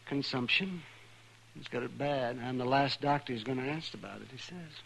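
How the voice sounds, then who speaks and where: An actor playing a grumbling, melancholy American frontier doctor in a 1950s radio western, muttering and repeating himself. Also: male voice, mid-range voice, moderate pace, vocal fry, fairly bright tone. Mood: somber. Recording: faintly noisy vintage radio recording.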